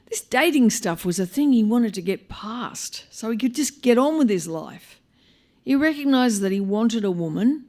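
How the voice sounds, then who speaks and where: An Australian woman reading a short story aloud live, in an expressive, wry manner with dramatic pauses. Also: mid-range voice. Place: live on stage at a small venue.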